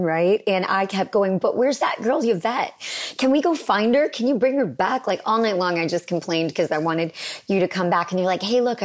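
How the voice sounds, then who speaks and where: A woman in her forties co-hosting a podcast in casual chat. She is hoarse and raspy, and she tells stories in an animated way. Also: mid-range voice. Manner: animated storyteller, laughing. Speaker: podcast co-host.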